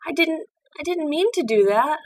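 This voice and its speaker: An eager young pony princess , acted by a woman reading a story, pitched well up high. She is earnest and easily flustered, with a stammering way of talking. The homemade recording has faint noise behind it. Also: expressive, normal speed. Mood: upset. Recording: distant mic with background noise.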